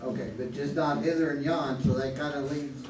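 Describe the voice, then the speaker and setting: A man's voice: medium pitch, steady tone, normal speed. A man answering casually as a game show contestant, thinking aloud as he goes. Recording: echoey, distant mic with faint noise.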